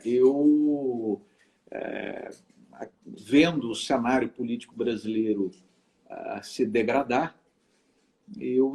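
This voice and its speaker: A man's voice: mid-range voice, mellow. A middle-aged man from southern Brazil, a lawyer and lecturer, talking as an interview guest with frequent hesitations and restarts. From Rio Grande do Sul, Brazil. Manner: hesitant, many filler sounds and restarts. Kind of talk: casual conversational interview.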